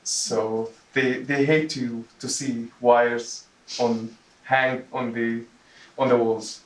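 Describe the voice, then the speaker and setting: A man from Jordan giving a prepared personal speech to a club, speaking haltingly and repeating words. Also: mid-range voice, flat delivery. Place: meeting room, recorded from a distance. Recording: roomy echo, distant microphone.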